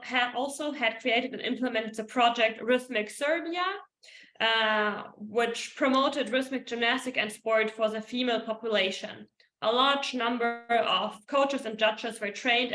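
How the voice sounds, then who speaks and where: A woman's voice: fairly high pitch, fairly bright tone, roomy sound, rough recording. A woman moderating an online workshop, formally introducing speakers and occasionally stumbling over names. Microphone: video call.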